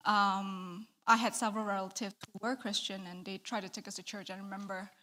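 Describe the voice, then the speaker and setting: A woman in her thirties from Malaysia, giving a candid, soft-spoken testimony in an interview and laughing between thoughts. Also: mid-range voice, level tone, brisk. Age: 30s. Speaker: church member giving testimony.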